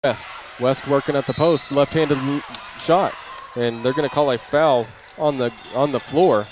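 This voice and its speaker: A man's voice: medium pitch, fairly even intonation, unhurried. A man doing live audio play-by-play of a basketball game in clipped running phrases that lift on big plays. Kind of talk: live radio-style sports commentary. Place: indoor basketball gym during a game. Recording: clearly noisy live gym audio. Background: gym ambience, bouncing basketball.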